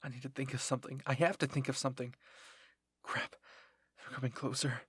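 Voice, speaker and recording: Man as panicking young girl soldier, husky, breathless, shaky pleading, fan fiction audio drama, close dry sound. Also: medium pitch, little pitch movement, a little fast, a bit bright, dry recording. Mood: panicked.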